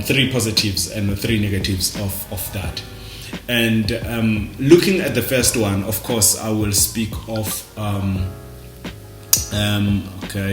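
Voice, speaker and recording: Low-voiced South African man, echoey room. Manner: halting, repeats words. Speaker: vlogger. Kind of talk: casual reflective talk to camera. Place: indoors, dim room at night.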